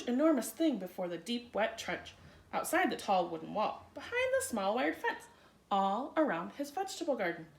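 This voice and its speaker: A woman reading a children's picture book aloud in an expressive, theatrical way with dramatic emphasis. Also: sits high. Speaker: children's story reader. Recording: roomy, echoey room sound.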